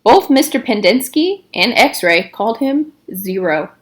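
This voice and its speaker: A woman's voice: on the high side, expressive, unhurried. A woman narrating a storybook aloud, acting out the dialogue with emphasis. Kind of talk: expressive storybook read-aloud.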